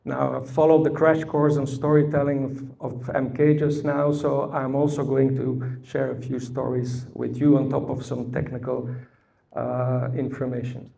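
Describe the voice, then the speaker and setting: A man with a Belgian accent presents at a conference workshop, pausing often on fillers as he builds his points. His voice is gravelly and warm rather than bright, with a steady middle pitch. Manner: deliberate, frequent "uh" fillers, storytelling asides. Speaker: conference speaker. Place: conference hall.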